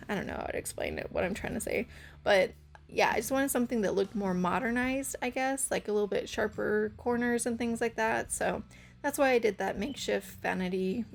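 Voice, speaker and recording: A young woman with a hoarse, scratchy voice, rambling through a casual gameplay voice-over with lots of fillers. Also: mid-range voice. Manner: rambling, hesitant, full of fillers. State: hoarse from karaoke. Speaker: channel host.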